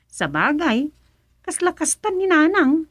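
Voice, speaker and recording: A woman's voice: high-pitched, very animated, unhurried, a bit bright, no echo, studio quality, exaggerated voice. A woman with a Filipino accent playing a child talking to a father in a radio comedy drama. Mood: chatty, surprised.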